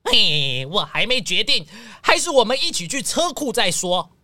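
A woman playing a vain, excitable rich male tycoon in an audio drama, bossy and melodramatic. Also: medium pitch, normal speed, dry recording. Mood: bossy, musing.

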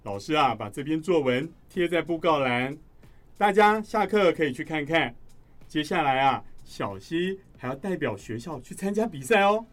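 Man acting an encouraging male schoolteacher, fairly high. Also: expressive, normal speed, natural voice. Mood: cheerful, encouraging. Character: encouraging, cheerful male schoolteacher addressing his class. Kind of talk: radio drama acting.

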